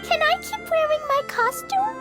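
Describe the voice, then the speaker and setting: A woman's voice: very high-pitched, expressive, moderate pace, music in the background. A woman voicing an eager, polite, imaginative little girl in a game cutscene. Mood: cheerful. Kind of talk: animated game cutscene acting.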